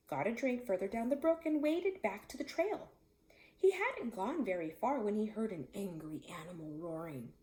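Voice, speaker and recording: A woman tells a children's story in an expressive read-aloud style. Her mid-range voice moves in lively swoops of pitch. The room adds a clear echo. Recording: echoey room.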